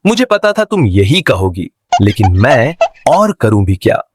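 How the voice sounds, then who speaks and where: Cartoon casting: actor as put-upon mutton seller, adult male, medium register, very lively intonation, emphatic and complaining. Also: medium pitch, unhurried, breathy edge, dry recording. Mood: exasperated. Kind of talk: Hindi cartoon voice acting.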